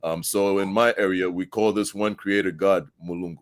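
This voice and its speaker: A man with a Zambian accent speaks in a deep voice with a dry sound. He chats casually as an interview guest, explaining his views and checking in with short tag questions. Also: steady tone, normal speed, dry recording.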